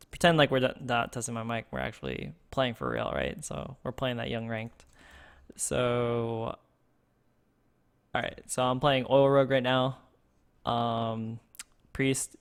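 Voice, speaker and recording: A man in the middle pitch range with a smooth, clear voice speaks close into a desk microphone. He streams a card game and thinks aloud, halting often with fillers and restarts. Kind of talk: casual gameplay streaming.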